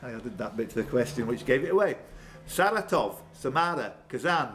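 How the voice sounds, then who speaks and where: A man hosting a live quiz, reading questions, calling on players by name and bantering excitedly with them. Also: medium pitch, brisk. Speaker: quizmaster. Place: pub room with players around. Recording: noisy live pub room recording.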